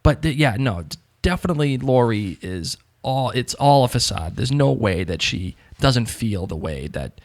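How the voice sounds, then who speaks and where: A male podcast host answers listener messages in a casual, sympathetic tone. He has a thick accent and often restarts his sentences as he thinks things through. His voice is gravelly. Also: mid-range voice, sing-song, normal speed, hardly any pauses.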